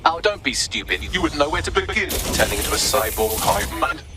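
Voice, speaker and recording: A man's voice: fairly high pitch, very animated, brisk, heavy background noise. A man voicing a witty, snide AI assistant with a British accent, articulate and sardonic, in a video game. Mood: wry, resigned. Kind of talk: scripted video game voice acting.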